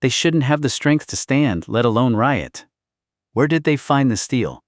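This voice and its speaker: A man's voice: medium pitch, lively intonation, measured pace, no room sound, clean studio sound, voice pushed up, close to an everyday voice. A man playing a cynical, battle-worn commander who gives urgent orders in a historical audiobook. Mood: alarmed.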